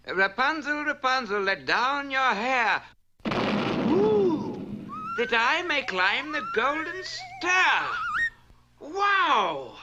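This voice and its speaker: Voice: sits high, huge pitch swings, drawn-out words, sharp tone, lo-fi sound, a little hiss or hum, exaggerated voice. An actor playing a dim, earnest young male fairy-tale hero in a cartoon, hammy and goofy. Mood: ardent, clueless.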